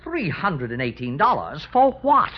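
A man's voice: on the high side, wildly swooping pitch, measured pace, slightly rough, full character voice. An actor playing a fussy, exasperated male insurance boss in 1940s radio drama style. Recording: muffled old radio broadcast.

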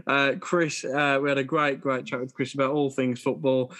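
A man's voice: mid-range voice, level tone, moderate pace, fairly bright tone. A man hosting a casual football podcast, chatty and jokey, with lots of fillers. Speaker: podcast host.

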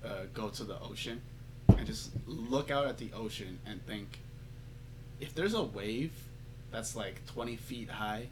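Male interviewer, slightly low voice, informal and conversational, faint hum behind. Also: moderate pace, warm tone. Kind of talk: casual chat.

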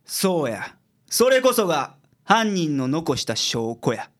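An actor playing a blunt, gruff male detective in a radio drama, brusque and authoritative, with a Kansai accent. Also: a little high, sing-song, takes it slowly. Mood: sharp, deducing. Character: gruff, blunt male private detective, sharp-witted boss.

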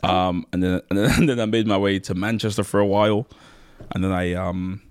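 A young man with a low voice talks through a topic in casual podcast chat. He restarts his sentences as he thinks, and his pitch jumps up when he presses a point. The sound is close and dry, with no room echo. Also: fairly even intonation, fairly quick, clear, resonant tone. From Nigeria, raised in South East London. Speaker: podcast co-host.

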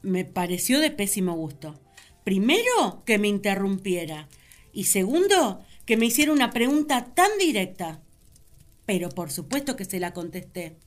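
An actress playing Eve, a young, excitable, self-assured first woman, narrating with dramatic pauses in a radio play. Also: mid-range voice, faint music behind, natural voice.

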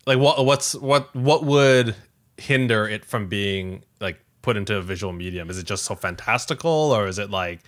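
A man's voice: fairly low pitch, normal speed. A Canadian man co-hosting a podcast in casual chat, talking in a hesitant, rambling way full of filler phrases. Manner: hesitant, rambling, frequent "like" and "you know". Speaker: podcast co-host. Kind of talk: casual tech news chat.

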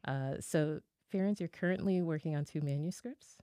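A podcast host with a mellow, warm tone introduces a guest and then asks questions. The delivery stumbles now and then and stops to fix itself, and the host is quick to laugh. The sound is dry and close. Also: medium pitch, no echo. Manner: reads with small slips and self-corrections, laughs easily.

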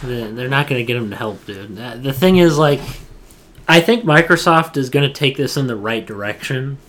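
A man with a medium-pitched voice chats as a podcast co-host. His delivery is informal and slangy, leaning on emphatic words the way gamers do in casual conversation. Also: lively intonation, normal speed, fairly bright tone. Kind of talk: casual gaming podcast chat.

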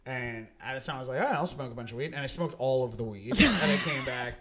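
A man's voice: medium pitch, animated, fast talker, a bit bright. A man hosting a casual comedy podcast, rambling through stories and laughing at his own jokes. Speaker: podcast host.

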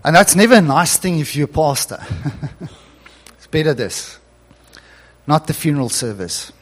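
A man's voice: fairly high pitch, very animated, a little slow. A male pastor whose first language is Afrikaans, telling a story in an earnest sermon that builds to emphatic preaching.

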